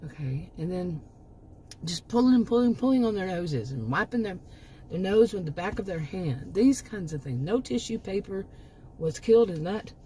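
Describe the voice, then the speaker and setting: A woman's voice: fairly low pitch, lively intonation, normal speed, mellow, slight background noise. A middle-aged woman hosting her own channel, giving a rambling, emphatic opinion monologue with many restarts and asides while she is losing her voice. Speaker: commentary channel host.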